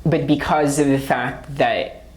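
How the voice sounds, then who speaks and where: A woman's voice: very deep voice, steady tone, slow. A woman from the Philippines, an author speaking as a guest, answering questions in a thoughtful, searching way and often checking in with a questioning tag. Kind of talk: reflective talk answering audience questions.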